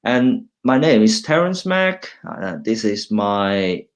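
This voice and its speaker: Hong Kong academic, middle-aged man, low voice, halting, restarts often, mixes English and Cantonese. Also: very animated. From China, raised in Hong Kong. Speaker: university lecturer, guest speaker. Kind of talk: informal talk presenting slides.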